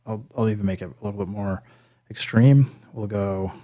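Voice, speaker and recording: A man's voice: normal speed, warm tone. A man hosting a casual hands-on music gear tutorial, thinking aloud in a hesitant, self-deprecating way. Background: synth pad and bass sample demo sounds.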